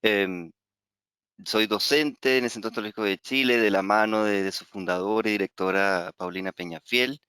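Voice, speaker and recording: A man's voice: lowish voice, steady tone, moderate pace, a bit bright, clean tone, no echo. A middle-aged man from Venezuela with a Venezuelan Spanish accent, teaching astrology in an online webinar, talking hesitantly with many fillers and repeated words. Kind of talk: webinar lecture.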